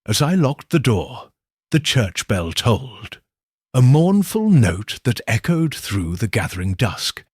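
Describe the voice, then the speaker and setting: A man's voice: mid-range voice, sing-song, slow, darkish tone, slightly rough, dry recording, studio quality. A man reading scripted first-person mystery fiction as an audiobook narrator.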